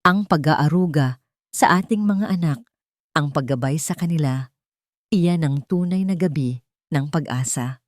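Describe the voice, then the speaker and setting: A woman's voice: sits low, a little slow, pauses often, no room sound, clean studio sound. A woman voicing a haughty, insincere society matriarch in a pompous speechmaking manner for an audio drama. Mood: falsely sincere.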